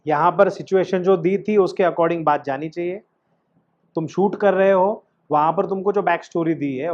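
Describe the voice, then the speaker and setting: Male acting coach, fairly high voice, instructive and questioning, slightly dull recording. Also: darkish tone. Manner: instructive, emphatic, frequently questioning the student. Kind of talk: teaching an acting class with demonstrated lines and questions.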